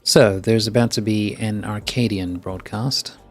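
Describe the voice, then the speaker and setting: Mild advisor character for a game, read by a man: measured, helpful, low register, soft music behind. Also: steady tone. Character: mild, helpful game ally offering advice. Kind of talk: scripted game dialogue read aloud.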